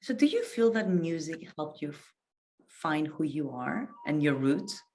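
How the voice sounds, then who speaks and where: A woman's voice: deep voice, sing-song, unhurried, warm tone, slight background noise. A woman hosting a radio interview, effusive and gushing, mixing Greek and English. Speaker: radio show host.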